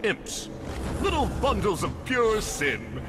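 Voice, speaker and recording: An actor playing a genteel, gleefully wicked narrator of a mock orientation guide to hell, theatrical and deliberate, in a video game. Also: male voice, high voice, huge pitch swings, exaggerated voice. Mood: sly, delighted. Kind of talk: scripted mock-instructional narration. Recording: loud noise behind the voice.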